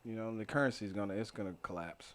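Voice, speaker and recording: A man's voice: lowish voice, fast talker, a bit bright. An American man talking casually as a panelist on a podcast. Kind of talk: casual chat.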